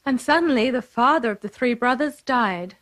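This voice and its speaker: A woman's voice: on the high side, animated, moderate pace, fairly bright tone. A woman narrator reading a scripted children's fairy tale.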